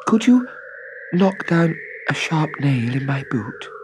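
A man's voice: high voice, wildly swooping pitch, moderate pace, background noise, pitched above the performer's own voice. A man voicing a timid, polite little girl in a storybook reading.

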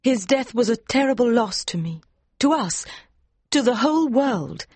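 A woman playing a devoted young woman in mourning in a dramatised audiobook, earnest and pleading, with a breathy voice. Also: on the high side, lively intonation, unhurried, darkish tone, no echo, exaggerated voice. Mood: grieving, proud.

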